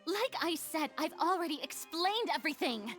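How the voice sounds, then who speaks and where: A woman's voice: very high-pitched, expressive, moderate pace, a bit bright. A woman voicing a youthful, proud and defensive female deity in a video game, with haughty, dramatic delivery. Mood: indignant. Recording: faint music behind game dialogue.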